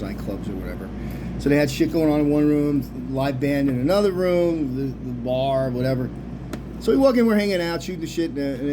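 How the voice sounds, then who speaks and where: A middle-aged man with an American accent telling a casual, rambling story in loose, slangy, sweary talk. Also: medium pitch. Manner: animated slang-heavy talk with frequent swearing. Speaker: storyteller, video host. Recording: clearly noisy background hum.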